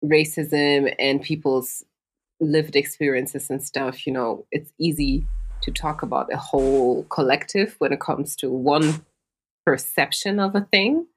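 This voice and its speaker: A woman's voice: sits low, measured pace, breathy edge, low-quality recording. A woman hosting a podcast, asking long thoughtful questions in a conversational interview. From Africa. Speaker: podcast host.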